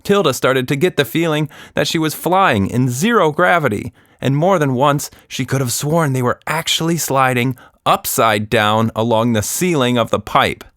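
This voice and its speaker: A man narrating a scripted children's story in an expressive, deliberate storytelling manner. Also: medium pitch, no echo, studio quality. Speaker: podcast narrator.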